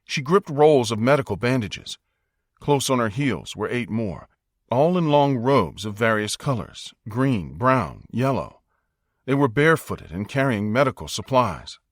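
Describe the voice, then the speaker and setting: An American man narrating a dramatic audiobook in a measured, suspenseful storytelling style. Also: medium pitch, sing-song, no room sound, studio quality.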